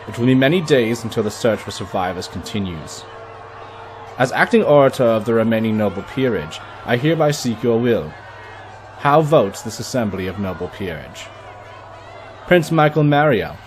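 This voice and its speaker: An actor playing a solemn count who presides over an assembly of nobles with formal, ceremonial oratory in a fantasy audio drama. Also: male voice, lowish voice, lightly acted. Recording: noisy crowd behind the voice. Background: assembly crowd murmur.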